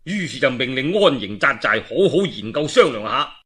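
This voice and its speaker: Animated Cantonese male storyteller, bright and measured. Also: medium pitch. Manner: colloquial asides and dramatic phrasing. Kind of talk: traditional oral storytelling of a historical novel.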